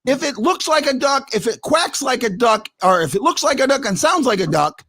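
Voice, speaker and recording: A man hosting a fan talk show, loud and excitable, rambling and restarting his sentences as he argues his point. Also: extremely high pitch, brisk. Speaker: podcast host. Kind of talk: heated hockey fan call-in talk. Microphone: headphones.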